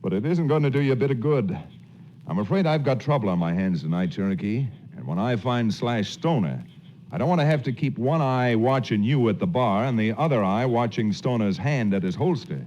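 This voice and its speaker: A man's voice: mid-range voice, lively intonation, fairly quick, darkish tone, natural voice. Man playing a folksy cowboy hero, muffled radio. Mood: teasing, firm. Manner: folksy, dry humour. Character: wry, confident male cowboy hero and narrator. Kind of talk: 1940s radio western acting. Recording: muffled 1940s radio recording, faint noise.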